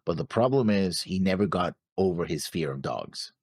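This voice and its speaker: A man's voice: deep voice, expressive, normal speed, darkish tone, breathy edge, no echo, clean studio sound. A man co-hosting a reaction show in casual chat, rambling and thinking aloud as he talks. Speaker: co-host of an anime reaction show.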